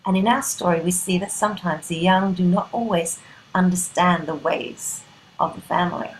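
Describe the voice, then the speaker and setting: A woman narrating a play in a dramatic scripted style, breaking it into short, deliberate phrases. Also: lowish voice, a little hiss or hum, low-quality recording.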